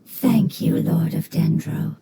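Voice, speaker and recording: An actress playing a grateful elemental fungus spirit in a video game, with a solemn, reverent manner and a breathy voice. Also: female voice, deep voice, slow, heavy background noise, heavily acted. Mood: grateful, devoted. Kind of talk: scripted video game dialogue. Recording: loud music behind the voice.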